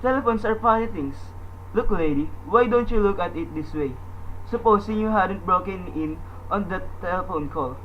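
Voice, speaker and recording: Man acting a high-voiced, dismissive police sergeant. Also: moderate pace, lightly acted. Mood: patronizing, dismissive. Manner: patronizing, matter-of-fact, placating. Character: unruffled, dismissive big-city police desk sergeant. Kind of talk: old-time radio drama acting. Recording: dull lo-fi audio with hiss.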